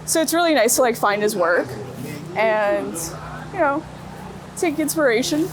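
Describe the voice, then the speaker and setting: A woman's voice: a little high, lively intonation, measured pace, crowd noise. A young woman from Brooklyn, New York, talking casually in informal, slangy speech peppered with "like" and "you know". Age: young adult. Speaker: street photographer being interviewed. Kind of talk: casual chat while walking. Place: outdoors on busy city streets. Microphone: wireless clip-on mic. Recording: noisy city street with traffic.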